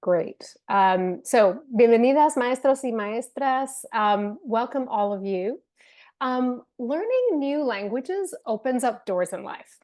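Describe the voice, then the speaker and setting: A woman's voice: fairly high pitch, darkish tone. A woman presenting an online teacher-training webinar in an earnest way, with some fillers and restarts, speaking into a computer mic on a video call. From family from Venezuela, raised in Spain and the UK. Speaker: webinar presenter, company co-founder.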